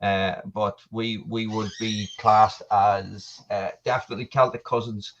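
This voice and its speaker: A man's voice: lowish voice, flat delivery, measured pace, lo-fi sound. An Irish man, a podcast guest, chatting casually over a video call, often stammering and restarting with a laugh. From Ireland. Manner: stammering restarts, laughing, reading chat aloud. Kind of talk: casual livestream chat.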